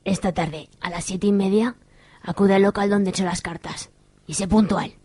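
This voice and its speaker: A secretive, commanding woman from gives orders over a phone, as an actress plays her. Her voice is breathy and hushed. The sound is dry and filtered. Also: mid-range voice, steady tone, normal speed, dry recording. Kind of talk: amateur radio drama acting.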